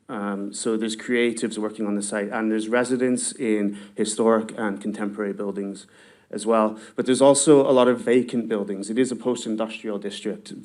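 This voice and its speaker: Hesitant man presenting research, lowish voice. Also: darkish tone. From not from Galway. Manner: hesitant, many ums and restarts. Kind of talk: online conference presentation.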